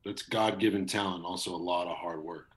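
A man in his thirties with a very low voice, talking loosely and at length as a guest. He rambles and restarts with plenty of fillers. The sound comes over a video call. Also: steady tone, brisk. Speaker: voice actor. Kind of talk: casual interview chat.

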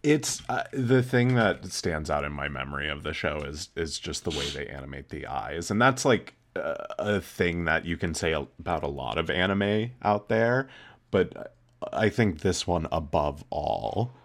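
A man with a very deep voice co-hosting a casual chat show. He talks in a laid-back way and chuckles along, padding his sentences with fillers. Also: lively intonation, measured pace. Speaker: podcast co-host.

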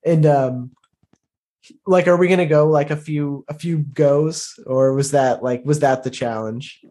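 A man playing a tabletop roleplaying game, casually describing his character's actions in a halting, hesitant way. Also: fairly high pitch, fairly even intonation, mellow. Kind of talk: casual improvised roleplay narration.

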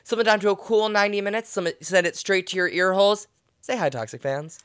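A man hosting a podcast, chatting casually and teasing his co-hosts with quick playful asides. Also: sits high, fairly bright tone, clear, resonant tone, no echo, clean studio sound. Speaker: podcast host.

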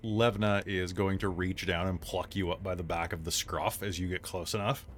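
A man acting as game master of a live tabletop game, giving rulings in a playful, halting way with fillers. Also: low-pitched, fairly even intonation, faint music behind.

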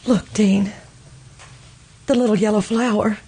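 A woman acting a grieving, fragile widow in an old-time radio drama, speaking in a halting, wistful and sorrowful way. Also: medium pitch, fairly even intonation, dark tone, husky, rough recording. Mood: anguished.

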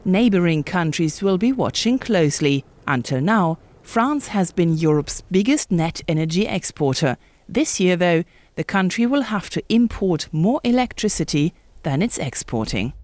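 A woman's voice: on the low side, expressive, normal speed, darkish tone. A woman working as a news reporter, narrating a scripted broadcast report.

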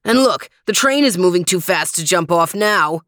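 A woman voicing an excitable, bossy older male French dog detective in a storybook, barking out exclamations. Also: lowish voice, moderate pace, no room sound, clean studio sound. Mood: snappy. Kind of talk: storybook character reading.

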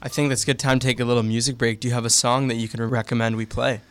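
A man's voice: medium pitch, flat delivery, rapid-fire, no room sound. A man hosting a radio show, asking curious, conversational questions. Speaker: radio host. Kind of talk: radio interview. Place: radio studio.

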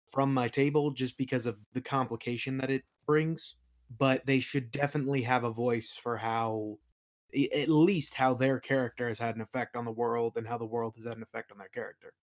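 Man with mid-pitched voice, casual guest. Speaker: podcast guest, game master and audio play creator. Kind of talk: casual interview chat.

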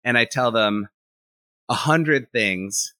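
A man in his thirties, a freelance animation director, talking casually as a podcast guest; talkative, he thinks out loud with lots of filler "likes". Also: mid-range voice, takes it slowly, no room sound.

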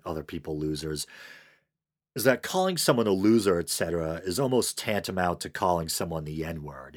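A man with a Boston accent, his voice sitting deep and low, hosts a solo podcast. He talks in a wry, irreverent manner, reading out online exchanges and breaking into the odd chuckle. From Northeastern United States. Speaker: podcast host.

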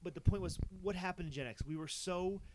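A man's voice: high voice, fast, noisy background. A man of about forty, co-hosting a podcast, who rambles in a casual chat with frequent restarts and laughs between thoughts. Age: 40s. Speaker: podcast co-host.

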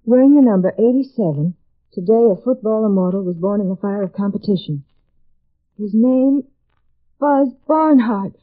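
A woman's voice: medium pitch, moderate pace, lightly acted. An American woman playing an earnest young daughter in a 1950s radio drama. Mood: puzzled. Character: anguished young woman, devoted daughter, horrified. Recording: tinny vintage radio recording.

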